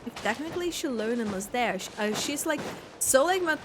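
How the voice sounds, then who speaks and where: Young woman streamer rambling over a game, high giggly voice, little bit of background noise. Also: lively intonation, fairly quick. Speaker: gaming streamer. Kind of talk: casual playthrough reaction commentary.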